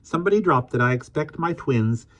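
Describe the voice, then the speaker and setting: A man reading a storybook aloud, voicing a shy, gentle little woman who is a young mother. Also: a little high, lively intonation, a little fast, dull audio, pitched above the performer's own voice, lightly acted.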